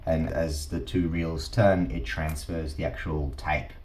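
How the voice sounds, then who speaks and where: Male host, extremely low pitch, informal demonstrator delivery, roomy echo. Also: flat delivery, unhurried, a little mellow, slight background noise. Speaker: host of a teardown channel. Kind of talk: casual hands-on explainer. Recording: roomy, echoing sound.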